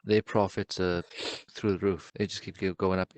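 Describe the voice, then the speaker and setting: A man's voice: sits low, little pitch movement, normal speed, a little mellow, no room sound. A man co-hosting a podcast in casual chat, contrary and matter-of-fact as he teases his co-host.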